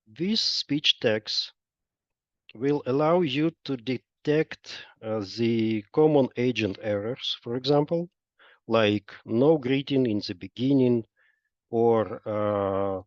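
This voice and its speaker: A man presenting a software product in a webinar, explaining step by step with frequent pauses and hesitations. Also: medium pitch. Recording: dull lo-fi roomy online webinar audio.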